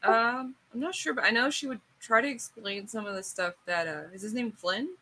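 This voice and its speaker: A woman's voice: on the high side, moderate pace, bright, forceful tone. A woman playing a tabletop roleplaying game, chatting informally and thinking aloud with questions. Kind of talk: casual chat.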